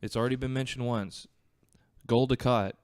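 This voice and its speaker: Clear, dry-sounding man in casual discussion. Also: mid-range voice, fairly even intonation, moderate pace, darkish tone, no echo. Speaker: podcast guest. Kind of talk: casual chat.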